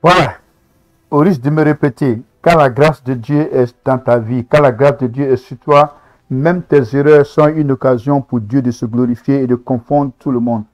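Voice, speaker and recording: A man's voice: medium pitch, fairly even intonation, moderate pace, a little mellow. A man hosting a reaction video, commenting on gospel singers with enthusiastic, preacherly remarks. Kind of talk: casual commentary on a talent show.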